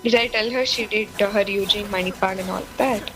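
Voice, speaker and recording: A woman's voice: medium pitch, steady tone, fast talker, pushed and bright, soft background music. A woman in her twenties with a Kannada-accented Indian voice, chatting casually and telling stories in a rambling way. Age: 20s. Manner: rambling storytelling. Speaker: medical intern chatting on a game stream. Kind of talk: casual bilingual chat.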